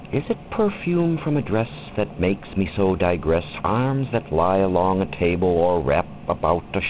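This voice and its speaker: Theatrical man reciting poetry, widely swooping pitch. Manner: theatrical, deliberate, with wide swoops and drawn-out phrases. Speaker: poetry reader. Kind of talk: expressive dramatic poetry recitation. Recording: muffled lo-fi recording with faint background noise.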